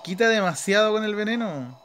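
A man's voice: high-pitched, expressive, brisk, a bit bright. A man with a Chilean accent giving casual, off-the-cuff gameplay commentary, muttering as he thinks aloud. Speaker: gaming video host. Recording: game music behind the voice. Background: video game battle music.

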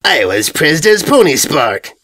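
A man's voice: a little high, very animated, unhurried, a bit bright, voice pushed up, heavily acted. A man voicing a petulant, pompous male invader crying out in a comic story. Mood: petulant bombast. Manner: screaming, pompous.

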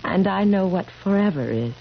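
An actress playing a solemn, insistent young wife who never ages, in 1970s radio drama. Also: female voice, medium pitch, expressive, normal speed, vocal fry. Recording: dull, noisy 1970s radio recording.

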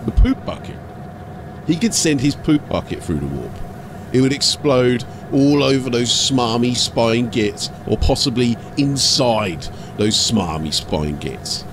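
A man's voice: mid-range voice, sing-song, measured pace, background noise. A man giving a scripted, dramatic narration as an audiobook storyteller.

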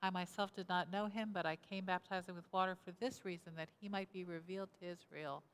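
A woman's voice: fairly low pitch, fairly even intonation, a little fast, fairly bright tone, smooth. A woman in her sixties, a pastor giving an interactive sermon at a lectern, asking the congregation questions and repeating their answers. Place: church sanctuary, indoors, at a lectern. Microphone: lectern mic, camera at mid distance. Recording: reverberant church hall.

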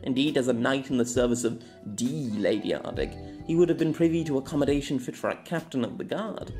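A man narrating his own fantasy novella as an amateur audiobook, telling it in an expressive storytelling manner. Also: mid-range voice, fairly quick, faint music behind.

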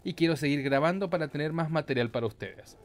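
A man's voice: fairly high pitch, fast. A man giving casual, slangy, mock-boastful gaming commentary with some swearing. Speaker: gameplay host.

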